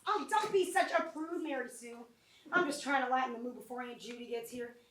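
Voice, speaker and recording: A woman's voice: high-pitched, brisk, fairly bright tone. A woman playing a brash, lustful Southern country woman at a funeral, sassy and complaining, in a live amateur stage comedy. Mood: bawdy, sassy. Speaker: actor in a staged reading of a short play. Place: small college theatre stage. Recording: roomy distant theatre mic, background noise.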